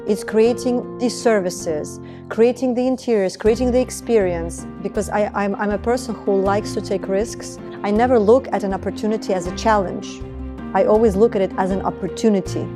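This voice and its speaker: A woman's voice: mid-range voice, fairly even intonation, moderate pace, music in the background. A woman, speaking earnestly and reflectively as a business founder in a documentary interview. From Estonia. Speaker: entrepreneur, beauty business founder.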